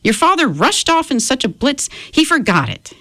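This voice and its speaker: A shrill, sharp-tongued old grandmother, fussing and scolding in a storybook reading, put on by a woman reader. Her voice leaps high and swoops about as she snaps back at everyone. The sound is close and dry. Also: big pitch swings, moderate pace, dry recording. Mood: exasperated. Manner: exasperated, high-pitched retorts.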